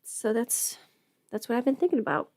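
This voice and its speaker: Woman, sick with a cold, fairly high pitch, casual livestream chat, playful sarcasm, dry close sound. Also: expressive, normal speed, a little mellow, dry recording. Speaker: audio script performer hosting a livestream.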